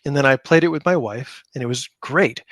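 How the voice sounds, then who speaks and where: A man's voice: medium pitch, brisk, a bit bright, no echo, clean studio sound. A middle-aged man telling anecdotes casually as an interview guest, repeating words for emphasis. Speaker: podcast guest, game creator; high school teacher.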